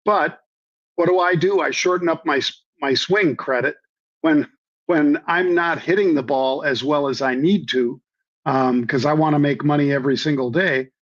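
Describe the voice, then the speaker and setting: A man with a slightly hoarse voice, a trading guest explaining things in a folksy way with many ums and repeated phrases. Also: on the high side, moderate pace. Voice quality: hoarse from years of floor yelling. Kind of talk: conversational explanation. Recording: dull lo-fi audio.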